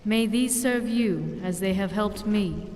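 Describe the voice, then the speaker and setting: A woman playing a calm, solemn female magic master in a video game, measured and instructive. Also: medium pitch, lightly acted. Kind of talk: video game character dialogue. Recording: long reverb, faint background noise.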